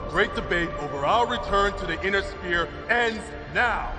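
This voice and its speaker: Man as a commanding clan leader, declamatory, lively higher voice, echoey hall, loud music behind. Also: moderate pace. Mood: rousing. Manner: declamatory, swelling to shouts. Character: commanding male clan leader addressing fellow rulers. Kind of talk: rousing speech.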